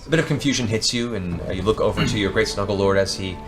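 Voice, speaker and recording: A man narrating a tabletop fantasy game as game master, improvising theatrical descriptions with deliberate pauses. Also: lowish voice. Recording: music bed behind the voice.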